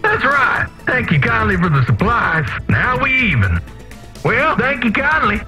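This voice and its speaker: An actor playing a gruff, folksy wasteland outcast in a video game, blunt and rough in grammar, on slightly dull audio. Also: male voice, very high voice, huge pitch swings, a little slow, pushed and bright, faint music behind, exaggerated voice. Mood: grudging thanks. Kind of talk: character acting in a video game.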